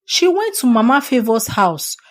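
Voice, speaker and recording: A woman's voice: fairly high pitch, sing-song, normal speed. A woman narrating a folktale expressively as a storyteller.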